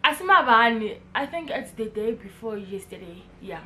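A woman's voice: a little high, moderate pace, roomy sound, a little hiss or hum. A young woman from South Africa with a Pretoria accent, chatting playfully in a casual vlog, mixing English and local slang and breaking into bits of song. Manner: playful and animated, bursts into snatches of song. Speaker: vlogger. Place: indoors at home, in front of a brick wall.

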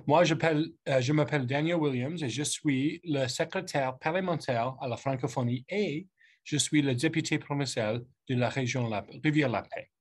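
Man, 30s, English-speaking Canadian accent, English native speaker, halting second-language delivery, formal host speech, video call from an office. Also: mid-range voice, breathy edge. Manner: hesitant, searching for words in a second language. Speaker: politician hosting a youth panel. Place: office with lamp and bookshelf, indoors. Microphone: webcam on a video call.

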